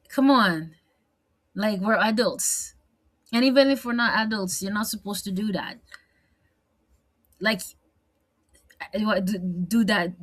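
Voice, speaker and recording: Young woman w a french accent venting to camera, rambly, says like and um a lot, mid pitch. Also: fairly bright tone. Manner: rambling, repeats words, lots of fillers; slips into French now and then. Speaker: vlogger. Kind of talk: candid personal monologue.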